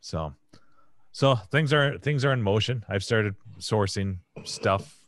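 A man with a hoarse, raspy voice, hosting a podcast and telling a long casual story full of fillers and restarts. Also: on the low side, expressive, slow, fairly bright tone. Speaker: podcast host. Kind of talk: casual chat.

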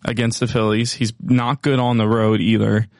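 A man co-hosting a casual sports chat, talking in bro-ish slang, his voice a little hoarse from yelling. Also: lowish voice, level tone, moderate pace, no echo, studio quality. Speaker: podcast co-host.

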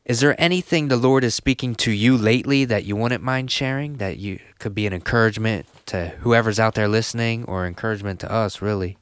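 A man's voice: fairly low pitch, brisk, few pauses, clear, resonant tone, dry recording. A man hosting a casual faith interview, asking his questions in a friendly way. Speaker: host.

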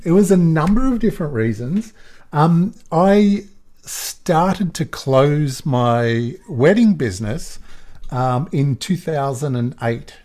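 A middle-aged Australian man with a croaky voice, a photographer chatting easily as a podcast guest and laughing often. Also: a little high, a little mellow. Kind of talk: casual podcast interview.

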